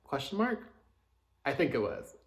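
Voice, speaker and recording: A man's voice: mid-range voice, very animated, a little slow. A man casually chatting through his music reviews, chatty and self-deprecating, with joking asides. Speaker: K-pop reaction host.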